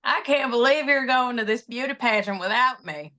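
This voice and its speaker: A woman playing a loud, fretful Southern mother in her forties at a screenplay table read. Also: on the high side, normal speed.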